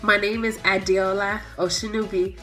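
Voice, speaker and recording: A woman's voice: mid-range voice, flat delivery, normal speed. A young adult woman, the fellowship's president, giving an upbeat to-camera introduction with playful asides. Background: soft background music.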